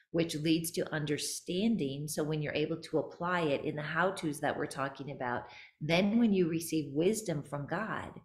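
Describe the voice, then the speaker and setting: A woman's voice: low-pitched, roomy sound. A middle-aged woman hosting a video call, upbeat, reading out viewer questions. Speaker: host moderating questions. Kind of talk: casual faith-based discussion. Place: bedroom indoors.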